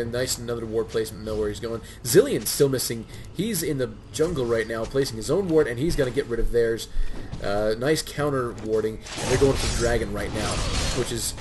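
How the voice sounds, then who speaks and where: Male game caster, stuffy cold voice, music clearly underneath. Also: mid-range voice. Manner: excitable, many fillers and restarts. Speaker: game commentator. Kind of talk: solo play-by-play commentary.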